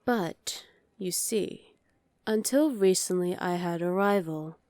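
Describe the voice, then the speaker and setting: A woman's voice: lowish voice, slow, darkish tone, no echo. A woman playing a dominant evil sorceress queen in an ASMR roleplay, commanding and condescending with cool menace. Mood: coolly imperious. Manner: commanding, condescending, coolly threatening.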